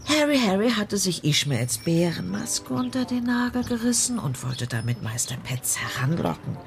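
Eine Schauspielerin spricht in einem Hörspiel die ältere, direkte Ehefrau eines alten Polizeichefs, die hartnäckig nachfragt und stichelt. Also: female voice, medium pitch, sing-song, moderate pace, gravelly, soft background music. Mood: uneasy, probing. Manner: needling, insistent questioning. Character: blunt, probing wife of an old police chief. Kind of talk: radio play acting.

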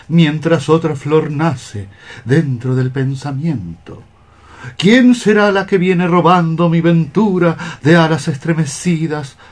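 Lovesick young cockroach poet, lightish voice. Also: male voice, on the high side. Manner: declamatory, lyrical. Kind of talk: poetic radio theatre acting.

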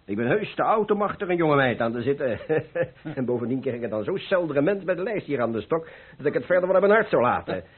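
An actor playing a cheerful, carefree older painter who philosophizes persuasively in a radio play. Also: male voice, a little high, very animated, quick pace, breathy edge. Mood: playful, passionate. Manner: exuberant, persuasive, rhetorical. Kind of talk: Dutch radio play acting. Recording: muffled old radio play recording.

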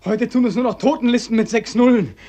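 Husky, despairing young war returnee. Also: male voice, very high-pitched, fast talker, dark tone, dry recording. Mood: bitter, frantic anguish. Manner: anguished, rhetorical, repeating himself. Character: despairing war-returned young man Beckmann, bitter and exhausted. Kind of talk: German radio drama acting.

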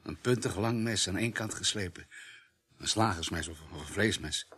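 A matter-of-fact police doctor in a radio drama. His voice is low and husky with a hard edge, his delivery clinical and understated. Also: moderate pace, fairly bright tone. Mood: clinical, grim. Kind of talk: radio play acting.

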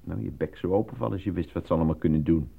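An actor playing a frustrated, embittered film actor and defensive husband in a theatrical, exasperated radio drama style. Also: male voice, sits low, racing, gravelly, slightly rough, full character voice. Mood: irritated, defensive. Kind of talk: Dutch radio drama acting. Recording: muffled old radio play recording.

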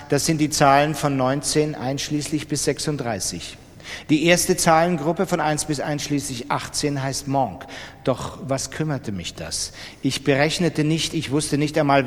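A man reads literary fiction in standard German, with dramatic delivery. His voice sits a little high for a man and is carried by a long reverb. It is a radio narration in the first person. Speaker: literary reader in a radio production. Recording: studio reading with long reverb.

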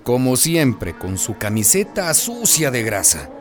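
A man narrating a radio drama as an expressive, folksy and wry storyteller. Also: mid-range voice, a little slow, music in the background.

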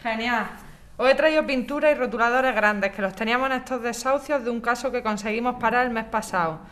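A woman's voice: on the high side, fairly quick, a bit bright, natural voice. A woman with a Spanish accent from Spain playing an encouraging, experienced housing activist in a radio drama. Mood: practical. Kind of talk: scripted radio drama dialogue.

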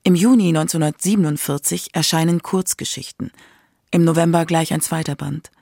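A woman's voice: deep voice, steady tone, a little fast, gravelly, mellow, no echo, clean studio sound. A woman narrating a scripted radio documentary in a factual narrator's style.

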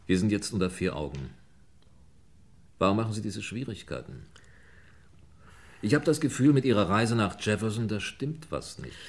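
A man's voice: lowish voice, expressive, moderate pace, long pauses. A man playing a shrewd, impatient police inspector in a mid-century German radio crime drama, probing and commanding. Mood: probing, pressing.

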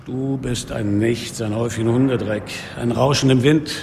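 An actor playing a weary, defiant middle-aged police inspector who narrates and speaks bluntly in a German radio crime drama. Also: male voice, medium pitch, fairly even intonation, normal speed, heavy background noise, lightly acted. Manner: blunt, sardonic, literary phrasing. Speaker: lead actor and first-person narrator.

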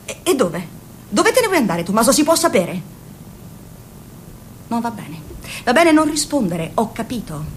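A woman's voice: fairly high pitch, big pitch swings, normal speed, long pauses, a little hiss or hum. An actress playing a pushy, anxious mother of grown children in an Italian radio drama, nagging and insistent. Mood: agitated, insistent.

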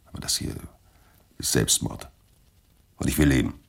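An actor playing a worried writer who wants to stay alive, protesting emphatically in colloquial speech in a radio drama. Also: male voice, very deep voice, moderate pace, creaky, slightly rough, heavily acted. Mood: earnest, resigned. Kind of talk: German radio drama acting.

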